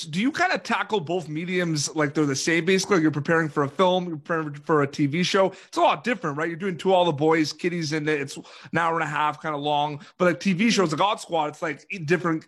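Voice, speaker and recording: A man's voice: on the high side, a bit bright, slightly rough. A man from Canada interviewing an actress, asking friendly, rambling questions full of "kind of" and "like".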